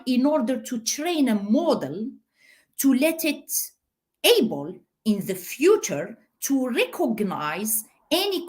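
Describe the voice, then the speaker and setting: Lively, unhurried woman presenting research. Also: medium pitch. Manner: lively, with many "so" and "uh" fillers. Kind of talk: conference talk.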